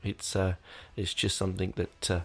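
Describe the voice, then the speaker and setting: A man's voice: sits low, flat delivery, moderate pace, a bit bright, slightly rough. A man hosting his podcast in casual, rambling talk, full of fillers and restarts, sounding nasal while getting over an illness. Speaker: podcast host. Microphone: desk microphone.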